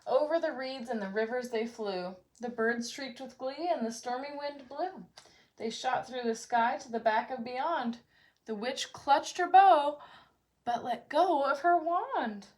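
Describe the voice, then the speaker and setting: A woman's voice: sits high, unhurried, darkish tone. A woman storyteller reading a children's picture book aloud expressively.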